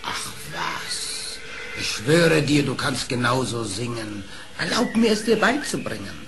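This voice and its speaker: An actor playing a cunning fox in a fairy-tale audio play, coaxing and theatrical in manner. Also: male voice, mid-range voice, big pitch swings, measured pace. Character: sly, wheedling trickster fox. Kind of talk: German fairy-tale radio play acting.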